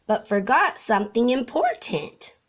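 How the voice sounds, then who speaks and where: Woman doing a young boy elephant, gentle, earnest, forgetful, storybook character, highly varied intonation. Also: very animated, measured pace. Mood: earnest, puzzled. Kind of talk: children's storybook read-aloud.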